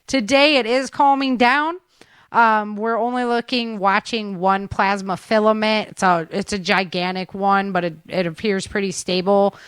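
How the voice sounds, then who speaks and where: A woman's voice: mid-range voice, steady tone, moderate pace, fairly bright tone, smooth, no room sound. A woman hosting a talk show, running through news updates in a chatty, informal way. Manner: chatty, informal, addresses listeners as guys. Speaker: show host.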